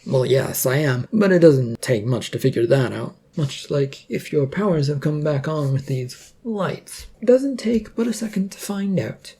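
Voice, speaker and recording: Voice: medium pitch, animated, a little slow, gravelly, few pauses, mellow, husky, heavily acted. A storyteller voicing a nervous but cheeky male underdog hero, wordy, wry and hesitant.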